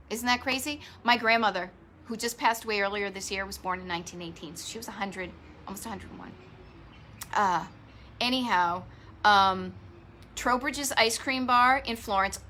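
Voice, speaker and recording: Bright, chatty woman hosting a live stream. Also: fairly high pitch. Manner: chatty and rambling, with asides to viewers. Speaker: host of a live travel show. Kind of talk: casual live-stream chat.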